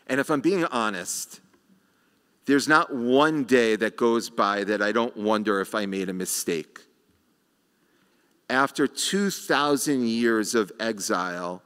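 Slow, measured rabbi in a reverberant sanctuary. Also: male voice, medium pitch, fairly bright tone, smooth. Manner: slow, measured delivery with rhetorical pauses. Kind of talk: sermon. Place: large sanctuary, at a lectern. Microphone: lectern microphone.

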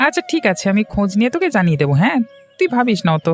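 A woman's voice: mid-range voice, big pitch swings, racing, faint music behind. A woman voicing a fussy, caring older brother, a fretful street seller in a cartoon. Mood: helpful. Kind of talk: cartoon moral-story dialogue.

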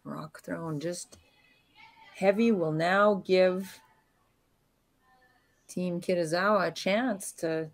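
A woman giving calm, analytical live commentary on a curling match. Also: lowish voice. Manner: calm, analytical play-by-play with pauses.

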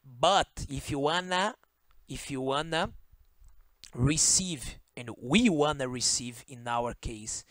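A man whose native language is Portuguese, speaking English with a Brazilian Portuguese accent, explains a circuit diagram as an electronics instructor, pausing often, correcting himself and checking in with "okay?". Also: mid-range voice, fairly bright tone, no echo. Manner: halting, self-correcting, frequent "okay?" checks. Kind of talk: screen-share circuit walkthrough. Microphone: voice-over on screen recording.

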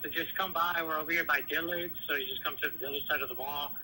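A man's voice: a little high, fairly even intonation, quick pace, bright, forceful tone, poor audio. A man working in a store, taking a customer call in a polite, patient, hesitant way, heard through a phone speaker. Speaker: store employee. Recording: phone speaker picked up, faint noise.

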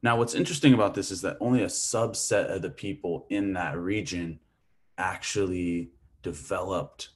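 A man from Hawaii lectures over a video call in a very low voice. He talks casually, thinking aloud with plenty of fillers, into a webcam mic in a small room. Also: normal speed.

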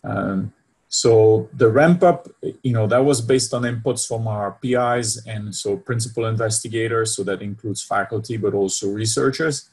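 Presenter: Belgian man, French accent, low husky voice, halting delivery, webcam call. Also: a little mellow. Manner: hesitant, many fillers and restarts, keeps asking "right?". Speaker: university department head presenting. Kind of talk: online lecture presentation. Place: home room with bookshelves. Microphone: laptop webcam video call.

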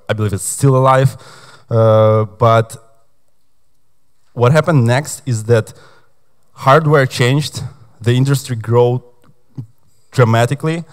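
A man's voice: mid-range voice, clear, resonant tone. A young man from Ukraine giving a conference talk on stage, chatty and hesitant, with lots of fillers. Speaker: conference speaker.

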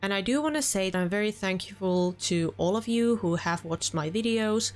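A woman's voice: medium pitch, moderate pace. A young woman with a Finnish accent, chatting earnestly as she wraps up a let's play session from her home room. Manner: chatty and earnest, with small restarts. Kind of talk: casual gameplay commentary.

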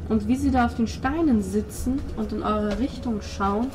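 A woman's voice: a little high, moderate pace. A woman narrating a tabletop role-playing game as its game master. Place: room with a tabletop gaming group. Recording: clearly noisy room recording.

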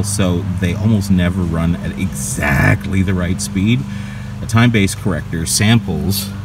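A man giving a casual, wry gear tour, thinking aloud with self-questioning asides as a hobbyist vlogger. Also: sits low. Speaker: vlogger and video transfer hobbyist. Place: outdoors in a backyard, at a patio table. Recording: clear outdoor ambient noise.

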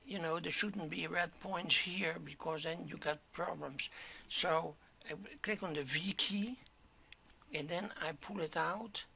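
Hoarse gravelly man, slow tutorial talk. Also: a little high, sharp tone. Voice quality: gravelly, keeps clearing throat. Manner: halting, step-by-step, drops to whispers. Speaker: 3D modeling tutor. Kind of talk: screen-recorded software tutorial.

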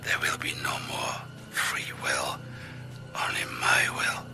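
An actor playing a middle-aged mind-control villain in a game, with a hushed, breathy, menacing and mock-courteous delivery. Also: male voice, slow, in a whisper, music in the background, natural voice. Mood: smug menace. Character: sinister bald male mind-control villain bent on world domination. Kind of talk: theatrical villain acting in game cutscene.

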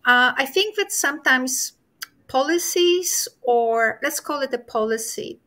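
Middle-aged woman host, high and bright voice, measured delivery on a video call. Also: lively intonation. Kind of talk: hosting a discussion on a video call.

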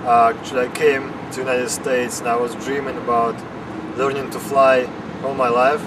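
A man's voice: mid-range voice, level tone, normal speed. Young man, distant outdoor mic. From Russia. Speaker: flight instructor. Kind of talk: outdoor interview. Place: outdoors on an airfield tarmac. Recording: distant outdoor mic, loud airfield noise.

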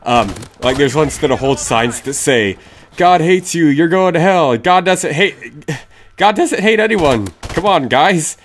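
A man's voice: on the high side, expressive, unhurried, a little hiss or hum. A young man with a stuffy, sick voice hosting a casual Q&A, rambling and correcting himself as he answers viewer questions. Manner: rambling, self-correcting, blunt opinions. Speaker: YouTube channel host.

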